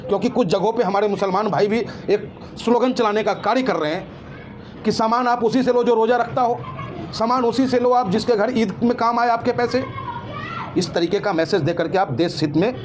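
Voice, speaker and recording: A man's voice: sits high, moderate pace, a little hiss or hum. A man giving impassioned opinions as an interview guest, emphatic and indignant, full of rhetorical questions.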